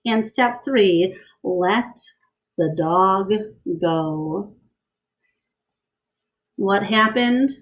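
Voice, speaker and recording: A woman with a mid-pitched voice reads aloud to children. She presents the text in an instructive, teacherly way, setting out each part clearly. She adds simple explanations and addresses questions directly to her young listeners. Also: drawn-out words, long pauses. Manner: instructive, asks the listener questions, enunciates steps. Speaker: teacher reading a picture book aloud.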